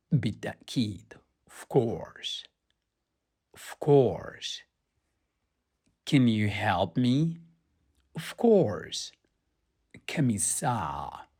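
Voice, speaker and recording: A man's voice: on the low side, darkish tone, slightly rough. A man leading a language lesson, saying short everyday phrases and repeating them word by word in a careful drill. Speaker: language teacher. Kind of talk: slow phrase drill for learners.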